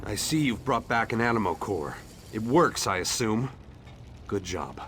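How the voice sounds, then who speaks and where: A man's voice: lowish voice, sing-song, measured pace, a bit bright, a little hiss or hum. A man playing a wry, sceptical townsman in conversational video game dialogue. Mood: curious, uncertain. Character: wry, sceptical male townsman seeking his fate. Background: faint game music.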